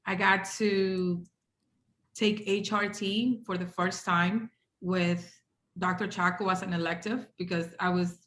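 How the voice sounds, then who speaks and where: A woman's voice: mid-range voice, a bit bright. A woman from Ecuador, a native Spanish speaker with an Ecuadorian accent, telling her story conversationally and animatedly as a podcast guest. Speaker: podcast guest, hotel housekeeping director. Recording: roomy, echoey sound.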